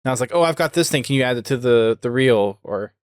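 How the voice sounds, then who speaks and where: A man's voice: mid-range voice, lively intonation, fast, clean tone, no room sound, studio quality. A man asking casual questions as a podcast interviewer.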